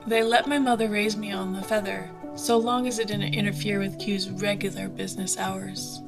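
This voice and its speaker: Casting: actress for frank young female pilot, rough past, mid-pitched, colloquial audio drama delivery over clear music. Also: level tone, normal speed, lightly acted. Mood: wry, nostalgic. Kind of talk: conversational audio drama acting.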